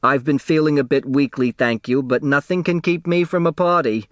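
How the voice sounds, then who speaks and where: A man's voice: a little high, normal speed, no room sound, clean studio sound, pitched above the performer's own voice, natural voice. Man voicing a chatty, self-pitying woman secretary.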